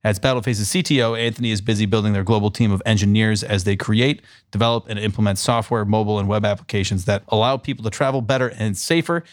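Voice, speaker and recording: A man hosts a casual podcast. His voice sits a little low, and the sound is dry with no room echo. He reads an introduction, then slips into relaxed, friendly chatter. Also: fast talker, hardly any pauses. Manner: reads a sponsor intro, then chats loosely with laughs. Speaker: podcast host.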